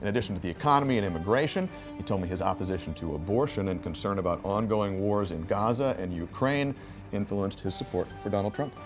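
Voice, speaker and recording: A man reporting for TV news, asking measured, clear interview questions. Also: fairly low pitch, music in the background.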